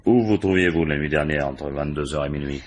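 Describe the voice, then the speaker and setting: An actor playing a shrewd, world-weary police commissioner in a French radio crime drama, with a dry, probing, ironic manner. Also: male voice, very deep voice, a little fast, slight background noise.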